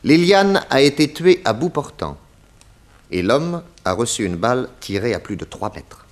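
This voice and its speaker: An actor playing a confident private detective in a 1960s French radio crime serial, speaking in a courteous, methodical and theatrical way. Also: male voice, mid-range voice, big pitch swings, normal speed, close to an everyday voice. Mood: methodical, assertive. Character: confident male private detective exposing a murderer.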